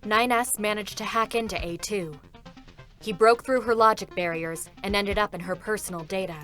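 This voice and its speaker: Woman narrating in an even tone. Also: mid-range voice, normal speed, faint music behind. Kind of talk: scripted narration in a live reading.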